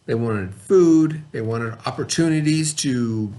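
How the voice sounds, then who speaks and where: A man's voice: mid-range voice, takes it slowly, warm tone. A man teaching a class, reading a story aloud and stopping to explain words and ask the children questions. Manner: explains and asks the class questions between passages.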